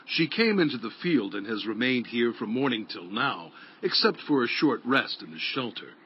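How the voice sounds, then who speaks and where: A respectful overseer, played by a man, gives a report in a medium-pitched voice. It is delivered as audio scripture drama. The recording is a little muffled, with faint noise. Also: very animated, moderate pace, lightly acted.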